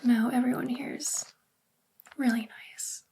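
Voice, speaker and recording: A woman playing a sweet, bookish witch librarian in a soft spoken ASMR roleplay, gentle and soothing in a breathy, whispery voice. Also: a little high, fairly even intonation, takes it slowly, a little hiss or hum, rough recording. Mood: kind, reassuring.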